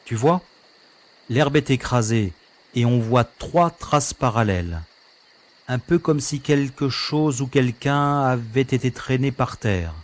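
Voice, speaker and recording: A man's voice: medium pitch, slow pace, lightly acted. A man playing a young, easygoing spaceship pilot who grows worried in a French sci-fi audio drama, with an everyday voice. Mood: concerned.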